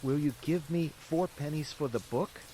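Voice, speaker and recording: A man voicing an eager, impulsive and boastful wooden puppet boy in a bedtime story, talking in an excitable, dramatic way. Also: on the high side, moderate pace, slight background noise, pitched above the performer's own voice, natural voice, poor audio. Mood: pleading. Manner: excitable, dramatic self-talk. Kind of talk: bedtime storybook character reading. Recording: steady rain sounds behind the voice.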